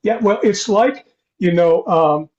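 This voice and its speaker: An older man hosting an interview podcast, talking in a halting, rambling way with repeated false starts. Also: sits high, animated, a little mellow. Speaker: podcast host. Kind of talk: conversational interview. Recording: dull, lo-fi sound.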